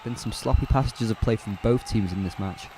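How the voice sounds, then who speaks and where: A man calling a football match live on a fan watch-along stream, chatty and relaxed, correcting himself as he goes and answering viewers' chat. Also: on the low side, a little fast, a little hiss or hum, low-quality recording. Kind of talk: casual live play-by-play commentary.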